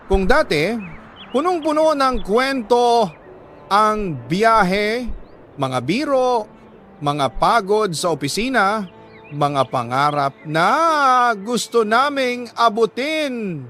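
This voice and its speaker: A man reading a dramatic scripted story in a solemn, measured way, pausing for emphasis. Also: sits high, expressive, sharp tone, soft background music. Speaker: narrator reading a listener's letter.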